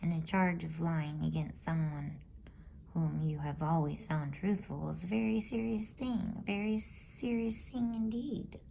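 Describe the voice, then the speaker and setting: A woman voicing an elderly male professor in a bedtime storybook reading, calm, logical and measured, in a soft, breathy voice. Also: on the low side, slight background noise. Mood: measured, probing. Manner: measured, musing, pedantic.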